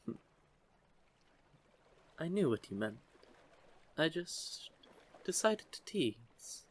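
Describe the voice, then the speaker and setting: A man voicing a curious, teasing young merman in an intimate audio roleplay, with a gently formal, measured way of talking. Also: on the high side, big pitch swings, a little hiss or hum, full character voice. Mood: playful teasing. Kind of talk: scripted audio roleplay, intimate one-sided conversation.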